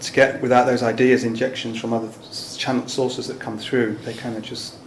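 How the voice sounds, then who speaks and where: Halting male interviewer, echoey room. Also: medium pitch, flat delivery. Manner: rambling, halting questions. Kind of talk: casual interview chat. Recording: echoey room, clear background noise.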